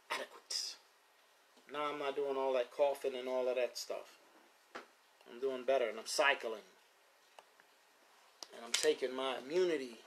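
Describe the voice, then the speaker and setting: A man in his fifties hosting a live stream about his pigeons, talking casually and repeating points for emphasis. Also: mid-range voice. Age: 50s. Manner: loose, repetitive, emphatic street-casual talk. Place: at home near his pigeon loft.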